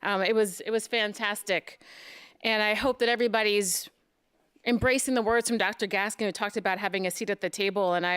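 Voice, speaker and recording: A woman's voice: mid-range voice, fairly bright tone, clean tone, dry recording. A woman announcing the next session at a forum, hesitant and repeating words at times. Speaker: event organizer announcing sessions. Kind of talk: event announcement. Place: conference event hall.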